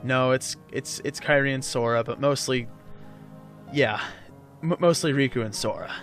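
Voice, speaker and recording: A man's voice: mid-range voice, normal speed, fairly bright tone, soft background music. A man giving casual, chatty, joking gameplay commentary in a rambling way, as on a let's play.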